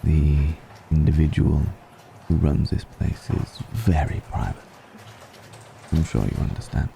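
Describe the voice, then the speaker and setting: A man playing a suave, ancient aristocratic vampire in an intimate ASMR roleplay, musing slowly and deliberately with long pauses. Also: dark tone, a little hiss or hum, exaggerated voice. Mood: courteous, secretive. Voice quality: very deep, gravelly.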